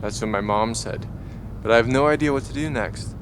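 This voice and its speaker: A man playing an earnest, anxious young space traveler with a halting manner in an audio drama. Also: mid-range voice, slight background noise, close to an everyday voice, low-quality recording. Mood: unsure, worried. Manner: hesitant, halting, unsure.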